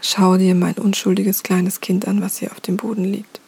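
A woman's voice: medium pitch, little pitch movement, normal speed, vocal fry, a little mellow, husky. Woman whispering as Vayu, grieving wind god. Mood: angry grief. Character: grieving furious male wind god, father.

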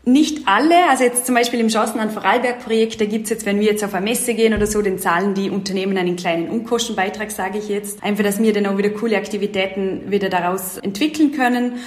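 A woman's voice: mid-range voice, fast, few pauses. A young adult woman speaking as an event and project manager in an interview, explaining things eagerly and leaning on filler words. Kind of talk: casual interview talk. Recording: roomy echo.

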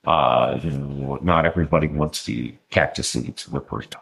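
A man explaining in a lecturing way in conversation, often pausing with ums and restarting his sentences. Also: a bass voice, gravelly, fairly bright tone. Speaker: interview guest.

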